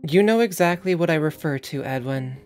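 Casting: woman playing stern council interrogator, deep female register, cold and authoritative, audio drama. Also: animated, a little mellow, soft background music. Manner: authoritative, deliberate, severe.

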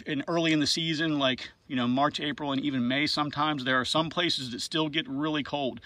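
A man's voice: a little high, dry recording. Easygoing man explaining beekeeping gear outdoors. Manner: relaxed, practical, lots of "right?" and "uh" fillers. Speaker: beekeeper showing hive gear. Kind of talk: casual outdoor how-to walkthrough. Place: outdoor apiary yard on gravel.

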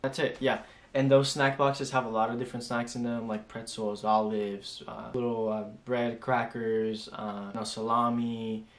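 A man's voice: medium pitch, little pitch movement, normal speed, echoey room. A man in his early twenties, a flight attendant chatting casually through viewer questions at home, rambling with lots of fillers and restarts. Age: 20s. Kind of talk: casual Q&A chat. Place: small home room indoors.

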